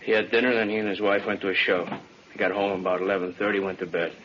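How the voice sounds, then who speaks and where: A man's voice: low-pitched, level tone, quick pace, a bit bright. A man playing a no-nonsense police captain in an old radio drama, giving a briefing in clipped, factual phrases. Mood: grim, factual. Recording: dull, faintly noisy old radio recording.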